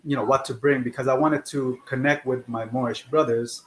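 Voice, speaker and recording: Middle aged guy guesting on a podcast, normal pitch, humble n earnest, little bit of background noise. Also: fairly even intonation, fairly quick, poor audio. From born in Chile. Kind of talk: conversational interview answers.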